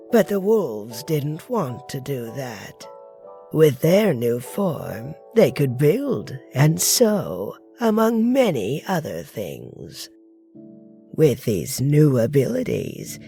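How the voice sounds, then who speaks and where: An actor playing a calm storyteller in a comic dub, telling children a legend in a measured, expressive way. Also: mid-range voice, gravelly, heavily acted. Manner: measured, unhurried, expressive delivery. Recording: music behind the voice.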